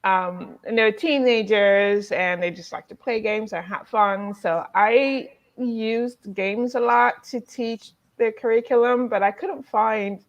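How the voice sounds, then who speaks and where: A woman talks slowly and hesitantly on a panel, pausing often around fillers. Her audio comes through a video call and sounds dull and lo-fi. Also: fairly high pitch. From Nigeria. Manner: hesitant, many pauses and fillers. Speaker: game designer and educator. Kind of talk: casual panel chat.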